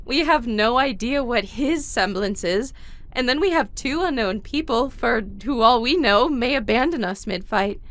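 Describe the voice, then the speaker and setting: A woman's voice: on the high side, animated, normal speed, fairly bright tone. A woman playing a composed, caring teenage warrior girl, narrating an audio drama in the first person and speaking her dialogue.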